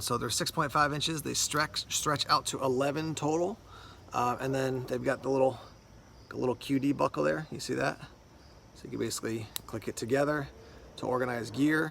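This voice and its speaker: Man, mid-pitched voice with vocal fry, casual unboxing host, informal explanatory delivery, faint background noise. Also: a bit bright. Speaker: product reviewer and unboxing host. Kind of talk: casual product unboxing commentary.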